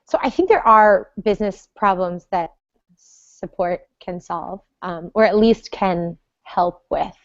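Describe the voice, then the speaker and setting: A woman's voice: on the low side, takes it slowly, dry recording, highs cut off. A woman on a podcast panel talking about support work, hesitant, with many ums as she thinks aloud. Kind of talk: casual panel chat.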